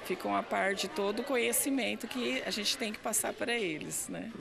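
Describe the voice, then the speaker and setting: A woman's voice: mid-range voice, normal speed, creaky. A woman educator talking in an interview in a chatty way, full of fillers. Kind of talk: interview answer.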